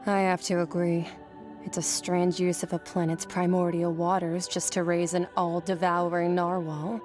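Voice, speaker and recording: A woman voicing an aloof, blunt master swordswoman in a video game, speaking in a deadpan, matter-of-fact way. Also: on the low side, moderate pace, faint music behind, close to an everyday voice. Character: aloof, blunt female master swordswoman, coolly self-assured. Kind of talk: scripted video game dialogue.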